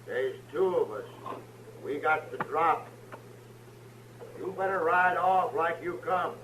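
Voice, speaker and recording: A surly frontier outlaw with a higher-set voice and rustic speech. It is old-time western radio acting. The sound is echoey, with clear noise. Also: male voice, takes it slowly. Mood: defiant. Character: defiant, surly male horse thief in the Old West. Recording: noisy, roomy old radio recording.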